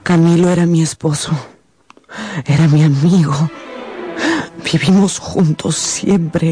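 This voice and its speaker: An actress in a radio drama playing an anguished, guilt-ridden wife who is hysterical, pleading and accusing. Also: female voice, on the low side. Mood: grieving, guilty. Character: anguished, guilt-ridden wife raging at her murderous husband. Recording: dramatic music behind the voice.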